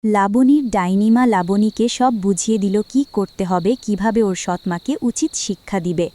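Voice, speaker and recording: A woman narrating a cartoon fairy tale in an even storytelling voice. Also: mid-range voice, moderate pace.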